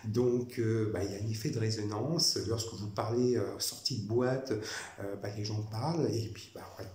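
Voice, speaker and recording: A man from France talking in a rambling personal monologue, halting, with many fillers and restarts, repeating himself. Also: fairly low pitch, steady tone, a little mellow. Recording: echoey room with faint noise.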